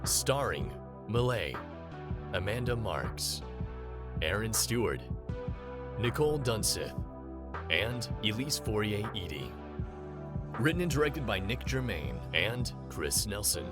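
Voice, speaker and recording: A man's voice: on the low side, very animated, takes it slowly, long pauses. A man reading scripted titles and credits as the announcer of an audio drama. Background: loud music.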